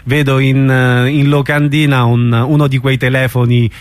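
A man's voice: medium pitch, level tone, moderate pace, dry recording. Male radio interviewer, close dry sound.

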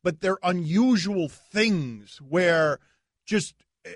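Loud high-voiced man, excitable sports host. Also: slow, no echo, studio quality. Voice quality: whiny. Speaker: radio show host. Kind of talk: heated sports talk debate.

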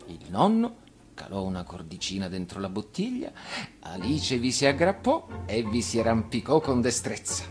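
A man reading a children's tale as storyteller, in an expressive scripted narration. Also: fairly low pitch, normal speed, soft background music.

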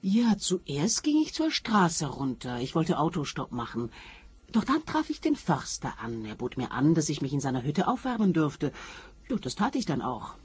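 An actress playing a chatty, frank woman with a wry manner and a husky voice in a radio play. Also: low-pitched, very animated, normal speed, slight background noise. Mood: frank, matter-of-fact. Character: chatty, frank, wry female reluctant hiker.

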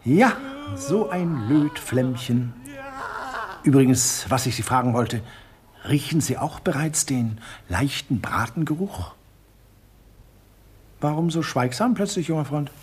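Ein Schauspieler spielt in einem deutschen Hörspiel einen glatten, sadistischen Schurken, der spöttisch und genüsslich spricht. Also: male voice, mid-range voice, lively intonation, slow, long pauses, crowd noise. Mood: mocking. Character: suave sadistic villain, middle-aged man, mocking. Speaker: villain Sir Bogomil. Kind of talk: German radio drama acting.

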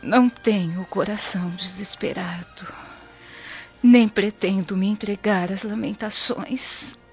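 An actress with a Brazilian accent playing a devout, gentle grieving daughter in a radio drama, solemn and prayerful with breathy whispered words. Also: female voice, medium pitch, steady tone, a little slow, lightly acted. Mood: anguished, prayerful. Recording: dull lo-fi radio drama over music.

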